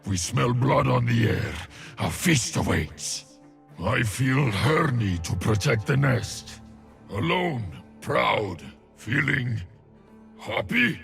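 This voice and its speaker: An actor playing a weary, solemn shaman warrior from a frozen wilderness in a video game, speaking in a gravelly voice with slow, weighty delivery. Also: medium pitch, big pitch swings, fairly bright tone, soft background music, heavily acted. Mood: grave, brooding. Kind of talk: video game character voice lines.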